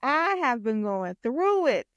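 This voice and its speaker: An American woman co-hosting a podcast in casual, slangy girl talk with a playful, expressive manner. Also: a little high, slow pace, clear, resonant tone, no room sound, muffled recording. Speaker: podcast co-host and content creator.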